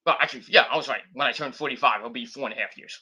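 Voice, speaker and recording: Rambling bright-voiced man in his forties. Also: mid-range voice, racing, breathy edge. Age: 40s. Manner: rambling, self-correcting, frequent fillers. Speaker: YouTuber. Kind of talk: rambling casual vlog chat. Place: home, at a desktop computer.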